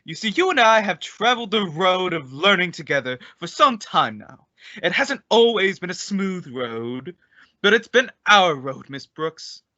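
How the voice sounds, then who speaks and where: Man acting a pompous, blustering principal, sharp and swooping. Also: high-pitched, big pitch swings, measured pace, dry recording, heavily acted. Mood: menacing, mock-solemn. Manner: pompous, theatrical, mock-solemn. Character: pompous, blustering male high school principal, easily outraged. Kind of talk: old-time radio comedy acting. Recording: dull, lo-fi audio.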